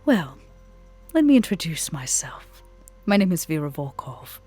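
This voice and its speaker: A woman's voice: low voice, sing-song, unhurried, dark tone, slightly rough, exaggerated voice. A woman voicing a cool, guarded female nightclub businesswoman in a tabletop roleplay, speaking in a formal, curt and pointed way. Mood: composed, formal. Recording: music playing behind the voice.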